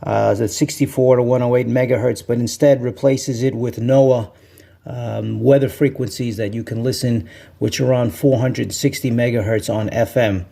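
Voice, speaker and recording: A man's voice: mid-range voice, fairly even intonation, moderate pace, creaky, mellow. An American man hosting a casual product review, talking through it informally as he goes. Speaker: host of a radio review channel.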